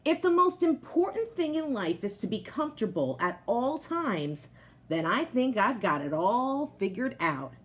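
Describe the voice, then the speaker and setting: A woman's voice: on the high side, very animated, measured pace. A woman voicing a smug, comfort-loving male potato from a picture book, boastful and exclamatory. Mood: boastful, delighted. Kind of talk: picture-book character narration.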